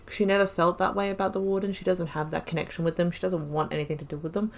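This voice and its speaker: A woman's voice: fairly low pitch, little pitch movement, very fast. A woman giving chatty let's-play commentary, thinking aloud with many fillers. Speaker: gaming YouTuber.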